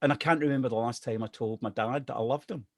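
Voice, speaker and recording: A man's voice: medium pitch, fast talker, no room sound, clean studio sound. A middle-aged man from Scotland, telling a personal anecdote in a casual, joking way with restarts. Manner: chatty, self-deprecating humour, restarts. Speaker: storyteller.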